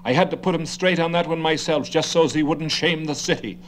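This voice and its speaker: Brief: man voicing a gabby, condescending know-it-all older man, pitched a bit high, audiobook reading with faint hum. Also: fairly even intonation, fairly quick, close to an everyday voice. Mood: chattering. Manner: chattering, lecturing, condescending.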